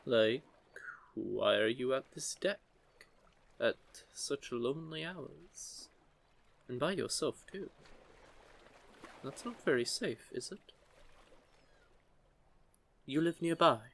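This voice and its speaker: A man voicing a curious, teasing young merman in an intimate audio roleplay, with a gently formal, measured way of talking. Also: medium pitch, lively intonation, vocal fry, heavily acted. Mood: gently inquisitive. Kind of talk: scripted audio roleplay, intimate one-sided conversation.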